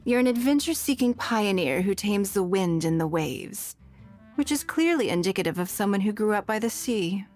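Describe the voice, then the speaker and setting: An actress playing a dignified, shrewd noblewoman in a video game, measured and gently teasing. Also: mid-range voice, lively intonation, natural voice. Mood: poised. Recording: music behind the voice. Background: background music.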